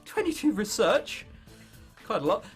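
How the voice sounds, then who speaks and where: A man's voice: sits high, big pitch swings, measured pace, faint music behind. A nineteen-year-old man with an English accent doing casual, jokey let's play commentary, swearing freely and reading game text aloud. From England. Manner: jokey, sweary, self-interrupting. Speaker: gaming video host.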